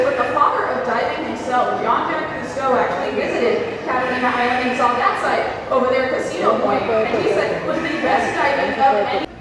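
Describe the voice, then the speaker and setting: A high-pitched woman speaks as an aquarium educator, addressing her audience directly in a conversational, interactive way. Her amplified voice rings with long, heavy echo in a large hall and sounds distant, with clear noise around it. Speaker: aquarium educator and show presenter. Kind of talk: live educational presentation to an audience. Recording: echoey hall, far from mic, background noise.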